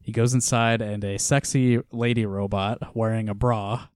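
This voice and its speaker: Relaxed low-voiced man, laughing a lot. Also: normal speed, clear, resonant tone, dry recording, clean studio sound. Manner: relaxed, laughs often, trails off quietly. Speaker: podcast co-host. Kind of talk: casual chat reviewing a comic.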